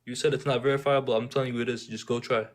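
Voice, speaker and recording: A man's voice: medium pitch, level tone, very fast. A young man from Syria, raised near Detroit, with a slight Arabic accent, calling in to a casual debate, rambling and repeating himself insistently. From born in Syria, raised in Detroit area. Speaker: caller.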